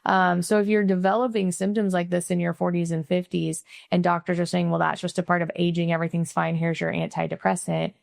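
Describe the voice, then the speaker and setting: A woman's voice: fairly low pitch, little pitch movement, a little fast, gravelly, hardly any pauses, no room sound, clean studio sound. A middle-aged woman from Missouri hosting a podcast, talking in a chatty way with lots of "like" and "right?". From Missouri, USA. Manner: chatty, frequent "like" and "right?" tags. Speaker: podcast host. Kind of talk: casual interview chat and closing sign-off.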